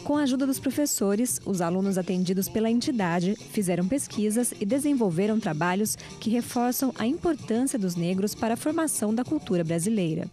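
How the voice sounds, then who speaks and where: Mellow-voiced woman news reporter. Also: medium pitch, animated, fairly quick, hardly any pauses, faint music behind.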